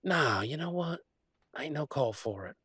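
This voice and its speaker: A man playing an easygoing, affectionate country werewolf boyfriend, talking in a folksy, halting way in an intimate roleplay audio. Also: on the high side, animated, a bit bright, breathy edge, no echo, studio quality, heavily acted. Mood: sympathetic, indignant. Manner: folksy, drawling slang, halting asides.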